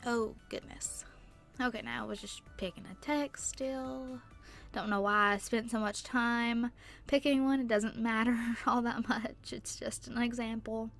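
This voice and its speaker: A young woman with a stuffy nose and sore throat, rambling chattily through a casual tutorial voice-over on a boom-arm mic. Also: steady tone, a little slow, gravelly, faint music behind. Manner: rambling, chatty, lots of fillers. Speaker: YouTuber. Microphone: microphone on a boom arm.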